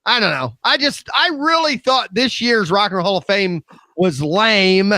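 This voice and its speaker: Animated, high-pitched American man in his fifties. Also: a little slow, no room sound. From Midwest United States. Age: 50s. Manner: animated, opinionated. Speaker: talk show host. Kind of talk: casual round-table chat.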